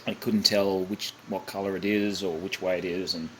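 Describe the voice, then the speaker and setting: Man, fairly deep pitch, noisy amateur recording, casual how-to narration, hesitant thinking-aloud delivery. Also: little pitch movement, fairly bright tone. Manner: halting, thinking aloud, self-correcting. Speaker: hobbyist demonstrating a kit build.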